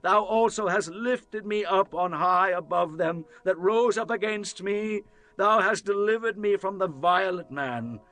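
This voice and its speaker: A man playing a devout warrior king and psalmist in a dramatized scripture reading, declaiming in solemn, measured phrases. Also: high voice, a bit bright. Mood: exultant praise. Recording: music under the voice. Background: music.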